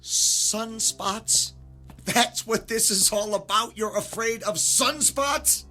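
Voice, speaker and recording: A man's voice: high voice, slow, pushed and bright, faint music behind, heavily acted. An actor playing a skeptical, defiant man held captive, speaking with sarcastic indignation. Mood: exasperated. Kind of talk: dramatic audio acting.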